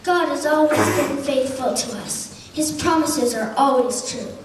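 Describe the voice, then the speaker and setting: A woman's voice: sits high, unhurried. A woman playing simple storybook characters in an amateur puppet show, recorded in a very echoey room. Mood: trusting. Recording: very echoey room, distant, noisy.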